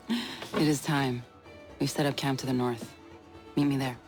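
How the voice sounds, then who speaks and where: An actor playing a guarded, resolute ally in a video game, terse and commanding. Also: male voice, mid-range voice, steady tone, slow pace, lightly acted. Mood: wry. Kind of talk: video game cutscene acting. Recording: music behind the voice.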